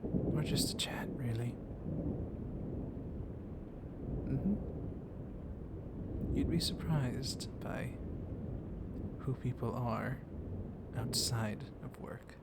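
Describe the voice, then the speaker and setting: A man playing a gently dominant, comforting vampire librarian in an intimate ASMR roleplay, speaking softly and haltingly, often slipping into a whisper. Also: medium pitch. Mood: teasing, confiding. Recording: clear storm noise behind the voice. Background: thunderstorm ambience.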